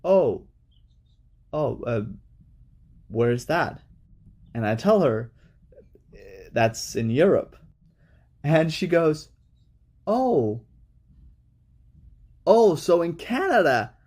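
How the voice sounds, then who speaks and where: A man telling a casual anecdote in English, dry and deadpan, acting out the other person's lines. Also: on the high side, very slow, pauses often. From Denmark. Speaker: storyteller in a short video clip.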